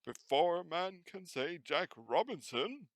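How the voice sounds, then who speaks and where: A man's voice: sing-song, a little slow, no room sound, heavily acted. A man voicing a jovial, portly old merchant in a storybook, calling out heartily. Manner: booming, hearty calls.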